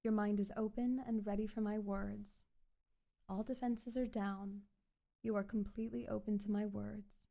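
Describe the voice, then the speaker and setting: A woman performing an ASMR hypnosis roleplay as a calm, controlling doctor, speaking in a soothing, repetitive, coaxing way. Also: medium pitch, moderate pace, vocal fry. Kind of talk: ASMR hypnosis roleplay, guided relaxation script.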